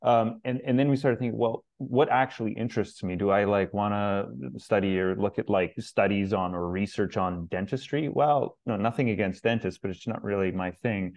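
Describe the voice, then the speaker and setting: A man's voice: on the low side, moderate pace, hardly any pauses, darkish tone. A man guest in an interview, talking casually and rambling with many fillers and restarts. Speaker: searcher guest.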